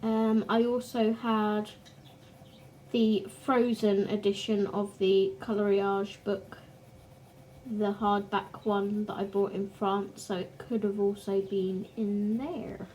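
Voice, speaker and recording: A young woman hosting a colouring channel, chatting casually to camera in a croaky, short-of-breath voice, pausing now and then to clear her throat. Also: medium pitch, flat delivery, a little mellow, slight background noise, low-quality recording.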